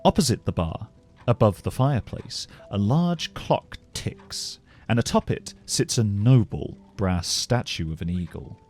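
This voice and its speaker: A man narrating a scripted fantasy story as a measured, descriptive storyteller. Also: on the low side, very animated, darkish tone, soft background music.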